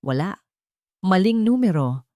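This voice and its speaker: A woman's voice: mid-range voice, sing-song, a little slow, mellow, no echo, clean studio sound. A woman voicing a worried, secretive older patriarch who speaks in anxious warnings in an audio story. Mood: evasive. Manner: anxious, cautioning.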